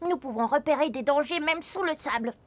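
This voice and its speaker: A woman plays a young cartoon meerkat with a high voice. The little animal is watchful and friendly, speaking carefully and instructively to a young audience. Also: brisk, close to an everyday voice. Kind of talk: children's educational cartoon dialogue.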